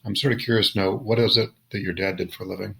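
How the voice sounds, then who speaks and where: A man hosting a podcast interview, reading prepared introductions in a steady way. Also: on the low side, fast talker, creaky, rough recording. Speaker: podcast host.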